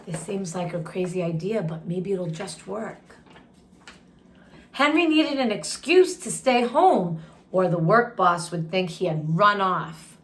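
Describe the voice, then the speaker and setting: Slow-paced woman reading a story aloud. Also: mid-range voice, a bit bright. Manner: deliberate, pausing to comment and ask questions. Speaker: storytime reader and teacher. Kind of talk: expressive read-aloud storytelling with asides to children.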